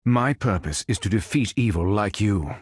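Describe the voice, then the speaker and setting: A man playing a calm, noble android superhero in a video game, speaking in formal, precise, stilted robotic phrases. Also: on the low side, moderate pace, husky, no echo, studio quality. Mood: measured, composed. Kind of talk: video game character voice lines.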